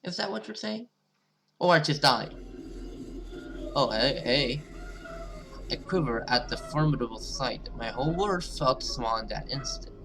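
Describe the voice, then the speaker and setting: A man's voice: medium pitch, animated, measured pace, gravelly, pauses often, noisy background. A man hosting a gaming video, reading story text aloud dramatically and reacting with casual asides.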